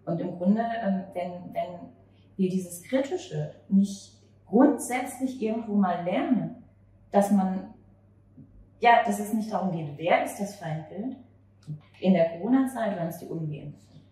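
Female interviewer, thirties, halting and reflective, heard from off-mic with some background noise. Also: medium pitch, dark tone. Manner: halting, searching for words. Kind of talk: conversational interview with long reflective questions. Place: small cafe or bar table at night. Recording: very echoey, distant, noisy room ambience.

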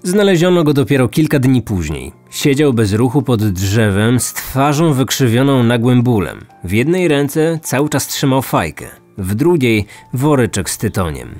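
A man's voice: mid-range voice, sing-song, normal speed, hardly any pauses, darkish tone. Man narrating true crime over music. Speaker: true crime podcast host. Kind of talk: scripted narration.

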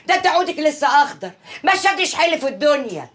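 A woman's voice: high voice, sing-song, fast talker. An actress playing a worried, scolding middle-aged mother who nags reproachfully in an Egyptian Arabic accent. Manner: nagging, reproachful.

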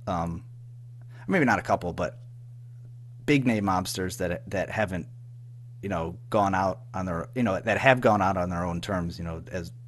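A man speaking as an interview guest in casual chat, hesitant, halting and self-effacing as he talks about his own work. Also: deep voice. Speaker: guest, audio drama writer and producer.

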